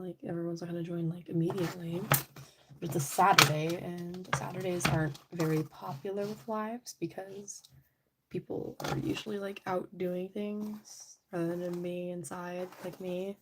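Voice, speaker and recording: A young woman hosting a casual livestream, chatty and giggly, her voice a little raspy from allergies. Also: lowish voice, little pitch movement, moderate pace, background noise. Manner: chatty, giggly, rambling with many "like"s. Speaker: livestream host. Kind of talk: casual livestream chat while painting a figure. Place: indoors at home.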